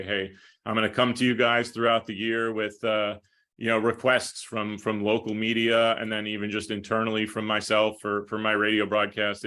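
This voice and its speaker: Male sports broadcaster as interview guest, low bright voice, conversational, winding delivery. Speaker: hockey play-by-play broadcaster being interviewed.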